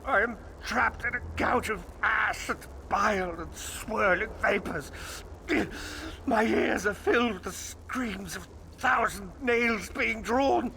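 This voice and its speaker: Gravelly, tormented, high-pitched villain. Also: male voice, sharp tone, slight background noise, exaggerated voice, low-quality recording. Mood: anguished. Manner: theatrical, anguished, drawn-out. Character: bitter tormented villain, gloating schemer trapped in agony. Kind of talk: video game voice acting.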